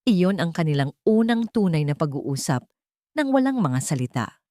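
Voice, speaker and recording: Female Filipino narrator for sentimental drama stories, slightly deep register, highly expressive melody, measured delivery. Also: no room sound, studio quality. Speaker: storyteller, narrator. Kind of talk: scripted dramatic story narration.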